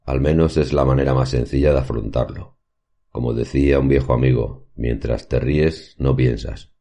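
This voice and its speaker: A man playing an erudite professor who recounts paranormal experiences in a horror audio drama, with formal, measured, literary diction. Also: a bass voice, steady tone, dark tone. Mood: grave, reflective. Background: music.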